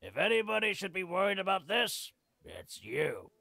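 An actor playing a cheeky, scruffy old veteran freeloader in a video game, with a raspy, drawling, theatrical delivery. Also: male voice, high-pitched, very animated, normal speed, bright, forceful tone, dry recording, heavily acted. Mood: gloomy storytelling. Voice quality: gravelly, raspy. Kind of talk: video game character acting.

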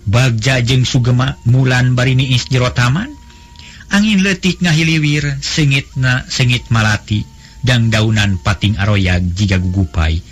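A man with a Sundanese accent narrating a traditional folk tale in a melodic, unhurried storytelling cadence. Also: medium pitch, slightly rough. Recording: faint background music.

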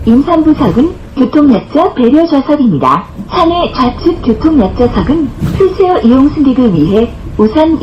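A woman's voice: high-pitched, dull audio, a little hiss or hum. A woman reading scripted bus stop announcements in a formal, carefully enunciated way, recorded inside a bus. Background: bus interior ambience.

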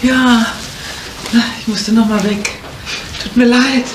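An echoey, noisy vintage radio play. a soothing, slightly evasive woman, speaking slowly. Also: a little high, fairly even intonation. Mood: apologetic. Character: evasive, soothing woman close to a young man. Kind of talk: 1970s German radio drama acting.

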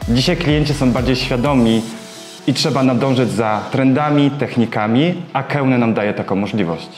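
A man's voice: medium pitch, moderate pace. Earnest young man in a roomy salon. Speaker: hairdresser and salon co-owner. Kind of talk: sponsored testimonial interview. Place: bright hair salon, indoors. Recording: roomy salon with soft background music.

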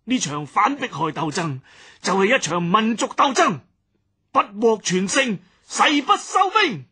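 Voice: medium pitch, very animated, unhurried, bright, forceful tone, husky, full character voice. An actor with a Hong Kong Cantonese accent playing a defiant leftist union leader, declaiming militant slogans in a radio dramatization. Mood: militant defiance. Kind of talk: fiery political speech in radio dramatization.

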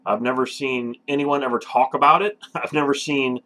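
A man's voice: medium pitch, steady tone, normal speed, pushed and bright. Enthusiastic male movie reviewer. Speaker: movie review show host. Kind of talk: casual review chat.

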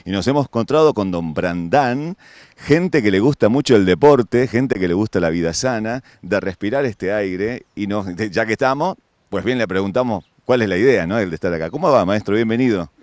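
A man's voice: lowish voice, animated, moderate pace, a little mellow, no room sound. Chatty man reporting outdoors. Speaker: field reporter. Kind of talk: casual outdoor interview. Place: outdoors at a roadside lookout. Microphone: handheld mic.

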